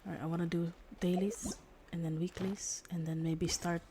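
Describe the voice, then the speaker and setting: Low-pitched, mellow woman streamer. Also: little pitch movement, normal speed, a little hiss or hum. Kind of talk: casual livestream chat while gaming.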